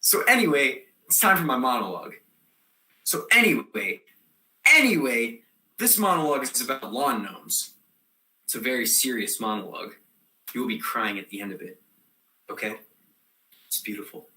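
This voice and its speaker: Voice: mid-range voice, animated, moderate pace, pauses often, sharp tone. An actor playing a scatterbrained, defiant theater student telling a comic monologue in slangy, rambling style, given to sudden outbursts. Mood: deadpan, rambling. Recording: echoey room.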